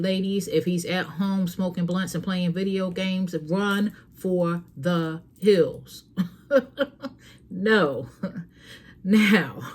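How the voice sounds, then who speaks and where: Low-voiced woman giving frank advice. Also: darkish tone. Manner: earnest, preachy, frank; talks straight to her listeners. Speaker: host. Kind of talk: casual advice talk.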